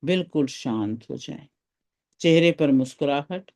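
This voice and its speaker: A meditation guide speaking gently and without hurry, leaving pauses between short phrases of calm instruction. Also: medium pitch.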